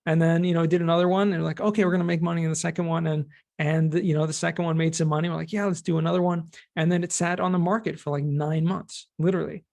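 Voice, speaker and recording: A middle-aged American man speaks as a guest in a conversational interview. His voice sits slightly high for a man and has a clear gravelly fry. He explains things in plain, everyday words. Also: little pitch movement, quick pace, few pauses, a little mellow. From California, United States. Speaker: podcast guest, cost segregation expert and former teacher.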